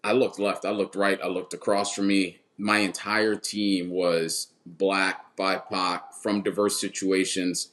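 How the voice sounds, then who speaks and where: Man, 30s, podcast guest coach. Low voice, earnest, repeats words mid-thought. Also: level tone, measured pace, few pauses, fairly bright tone. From Washington, D.C. area. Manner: earnest, repeats words when building a point. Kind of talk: casual interview talk.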